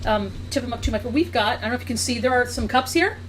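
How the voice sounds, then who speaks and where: A woman co-hosting a casual interview, chatty and quick as she sets up a game. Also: fairly high pitch, lively intonation, over music, low-quality recording. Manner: rapid, chatty, explaining a game. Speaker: podcast co-host.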